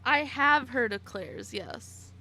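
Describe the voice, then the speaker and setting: A woman's voice: fairly high pitch, very animated, slow pace, sharp tone. A woman in her late twenties chatting casually on a live stream while she plays, giggly and talkative, answering her chat by name. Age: 20s.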